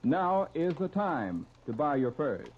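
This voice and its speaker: Dull 1930s radio recording, higher-voiced American announcer. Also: male voice, very animated, a little slow. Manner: formal, polished scripted delivery. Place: radio studio.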